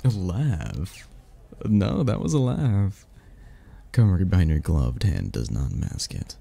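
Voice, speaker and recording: A man acting a deep, husky, charming masked stranger. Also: very animated, slow pace, full character voice. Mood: playful. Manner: playful, flirtatious, hushed. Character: charming, teasing mysterious masked stranger courting a royal. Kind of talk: ASMR romantic roleplay.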